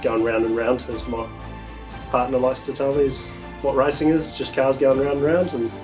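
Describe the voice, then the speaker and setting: A man's voice: medium pitch, level tone, normal speed. A thirty-year-old man, eagerly recalling his start in racing for a documentary interview. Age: 30s. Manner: enthusiastic, rambling recollection. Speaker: drift driver interviewee. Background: guitar music.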